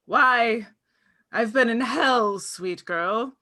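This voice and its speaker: A woman's voice: fairly high pitch, very slow, pushed and bright, exaggerated voice. A woman playing a gleeful, hungry villainous witch in a theatrical, taunting manner for a script table read. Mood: mocking.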